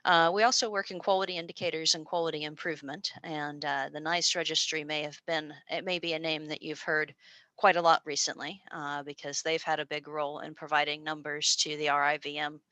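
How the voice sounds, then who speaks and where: A woman's voice: low voice, flat delivery, brisk, sharp tone, dry recording. An American woman presenting a university program over a video call, chatty and informal with frequent fillers and restarts. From United States. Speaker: presenter and postdoctoral researcher. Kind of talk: online information session presentation. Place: small room at a university.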